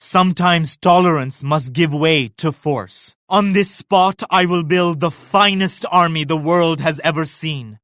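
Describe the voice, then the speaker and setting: An actor playing a devout, regal Mughal emperor in a video game, speaking in a formal, grave and pious manner. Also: male voice, fairly high pitch, unhurried, few pauses, a bit bright, dry recording, clean studio sound. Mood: devout, proud. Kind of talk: scripted game character lines.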